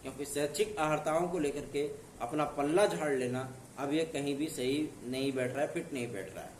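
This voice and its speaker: Hoarse man, slightly high voice, earnest and reassuring, asks listeners if they follow, distant from the mic, echoey room, faint background noise. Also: a little fast, a little mellow. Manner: earnest, reassuring, with repeated tag questions. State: hoarse from a sore throat. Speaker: exam news channel host. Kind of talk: casual direct-to-camera update.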